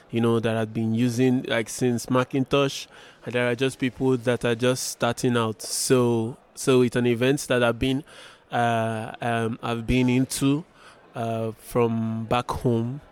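A young man from Nigeria, a tech support engineer answering interview questions with many pauses and restarts. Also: mid-range voice, little pitch movement, clean tone. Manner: hesitant, many fillers and restarts. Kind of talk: event interview.

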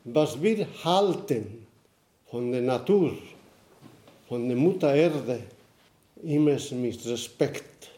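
Man from Bolivia, very slow solemn speech, mellow voice, echoey hall. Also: medium pitch, expressive. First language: Aymara. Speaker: guest speaker. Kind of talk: ceremonial speech.

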